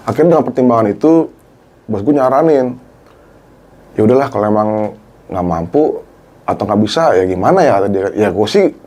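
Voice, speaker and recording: Animated man telling a story. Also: fairly low pitch, brisk, pauses often. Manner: animated, acts out quoted dialogue, Jakarta slang. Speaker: guest, former TV cameraman. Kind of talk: casual storytelling chat.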